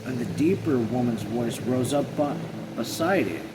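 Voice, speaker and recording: A man reading a novel aloud as an amateur, haltingly, often rereading and correcting himself. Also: medium pitch, lively intonation, heavy background noise. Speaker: amateur reader.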